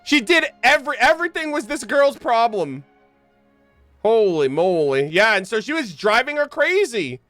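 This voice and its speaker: A woman's voice: fairly high pitch, sing-song, normal speed, a bit bright, no echo. A young woman giving casual, exclamatory and slangy live commentary as a game streamer on a close mic. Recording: game music underneath.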